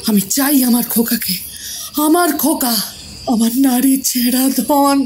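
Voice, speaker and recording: A woman's voice: sits high, a little slow, a little mellow, slight background noise. An actress playing a grieving, obsessed mother at a séance who begs for her dead son with a broken, emotional voice. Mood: crazed. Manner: pleading, then fierce and hoarse. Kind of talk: audio drama acting.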